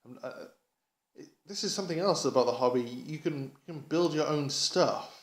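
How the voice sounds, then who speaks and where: A man from England giving a casual hobby vlog update, rambling enthusiastically and haltingly, often repeating words as he goes. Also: a little high. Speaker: amateur radio hobbyist vlogger. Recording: echoey, roomy sound.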